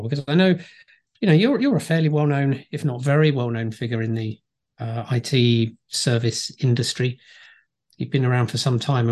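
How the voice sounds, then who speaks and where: A man's voice: mid-range voice, a little mellow. A man hosting a podcast, upbeat and friendly, interviewing his co-host. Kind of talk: casual podcast chat.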